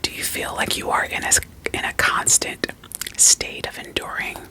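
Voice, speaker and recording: A person whispering an ASMR roleplay as a doting, quirky therapist, soothing and reassuring with gentle questions. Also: normal speed, poor audio. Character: doting, quirky therapist evaluating a vampire client.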